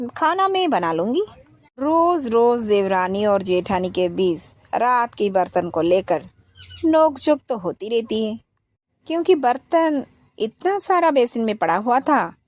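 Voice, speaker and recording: A woman's voice: a little high, darkish tone. Woman narrating a family story. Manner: switches into put-on voices for each family member.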